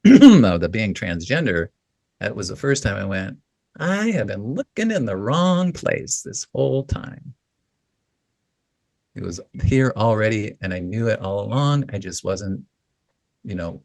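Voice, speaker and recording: A man in his fifties, a guest in an interview, talking reflectively with a deep voice, often pausing and restarting with "you know". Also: dry recording. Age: 50s. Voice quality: deep, gravelly voice. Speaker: guest, writing coach.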